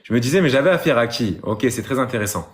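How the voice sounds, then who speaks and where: A man's voice: mid-range voice, lively intonation, fast talker. A man taking part in a casual live debate, speaking informally and earnestly and calling the others brother. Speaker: live stream participant, believer.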